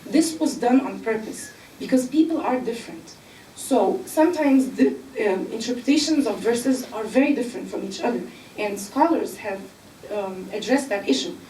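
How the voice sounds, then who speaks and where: A woman from Egypt speaking on a panel, explaining earnestly with filler pauses. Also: a little high, lively intonation, warm tone, distant mic, a little hiss or hum. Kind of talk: panel discussion. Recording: echoey room, mic from afar.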